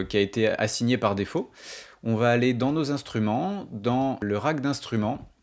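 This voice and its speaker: A man hosting a music production tutorial, explaining things step by step in an informal, colloquial way. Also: mid-range voice.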